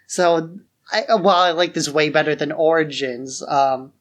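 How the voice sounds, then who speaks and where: Casting: male podcast co-host, slightly high-pitched voice, loose and casual film chat. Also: normal speed.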